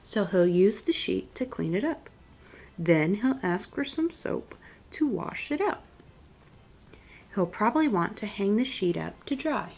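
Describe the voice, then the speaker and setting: A woman reading aloud as a children's storytime host, deliberate and expressive in her delivery. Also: mid-range voice. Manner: clear, deliberate picture-book reading with expressive emphasis. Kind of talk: read-aloud storytime narration.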